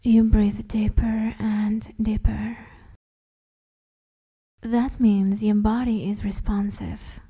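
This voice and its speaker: Slow, breathy, half-whispering woman guiding meditation. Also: mid-range voice, flat delivery. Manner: soothing, gently instructing. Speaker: meditation guide, host. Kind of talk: guided meditation, hypnosis-like.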